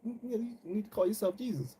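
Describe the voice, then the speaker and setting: A man's voice: high-pitched, animated, rapid-fire, mellow, slight background noise, voice pushed up. A man voicing a brash young American city woman, sassy and insistent, in a casual spoken anecdote.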